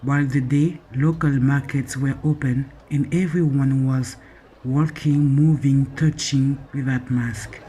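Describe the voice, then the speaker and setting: A man from Congo, an artist and poet speaking slowly and emotionally about his experience. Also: mid-range voice, fairly even intonation, dark tone, a little hiss or hum, poor audio. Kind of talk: reflective personal account with poem reading.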